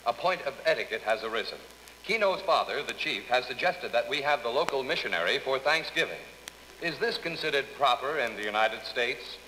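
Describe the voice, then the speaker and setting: A man's voice: mid-range voice, a little fast. A man playing a formal, straight-faced radio announcer who reads absurd listener letters in a scripted comedy skit. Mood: deadpan, formal. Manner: deadpan, formal. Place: radio studio. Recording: noisy old radio record, long reverb.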